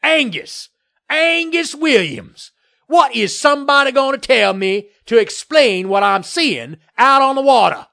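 A man voicing a blustering, bullying land developer in an audiobook story, with a loud, growling, puffing delivery. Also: sits high, animated, measured pace, creaky, voice pushed up, exaggerated voice. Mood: indignant.

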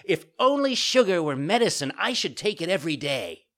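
A stubborn little marionette boy from a storybook. The voice is pitched far up, loud and whining. It protests petulantly and swings widely in pitch. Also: very animated, fairly quick. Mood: whiny, bargaining.

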